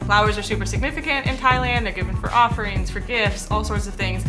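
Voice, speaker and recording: A woman's voice: mid-range voice, fast, music in the background. A woman in her thirties narrating a casual travel vlog. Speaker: travel vlogger.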